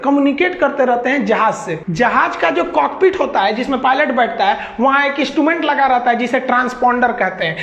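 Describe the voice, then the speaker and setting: Man, classroom lecture, folksy asides, high voice, roomy dull recording. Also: a bit bright. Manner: folksy, joking asides in dialect. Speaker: teacher.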